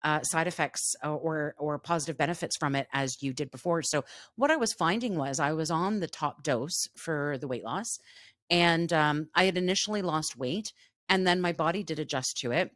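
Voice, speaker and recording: A woman's voice: low voice, moderate pace, fairly bright tone, dry recording, studio quality. A woman co-hosting a casual podcast chat, talking openly with lots of fillers and restarts. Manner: chatty, frequent fillers and restarts. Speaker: podcast co-host.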